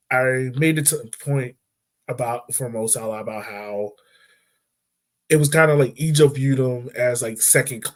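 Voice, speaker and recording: A man hosting a podcast, chatting about football in a relaxed, slangy way, full of "like". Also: medium pitch. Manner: relaxed, slangy, repeats phrases with "like". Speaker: podcast host. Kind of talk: casual sports chat.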